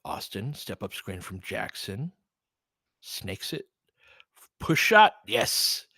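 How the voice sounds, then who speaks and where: A man's voice: lowish voice, big pitch swings, slow, sharp tone, dry recording. Man calling basketball, close and dry. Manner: candid, colloquial partisan fan reactions with swearing. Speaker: fan play-by-play commentator and host. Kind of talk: live basketball play-by-play commentary with casual analysis.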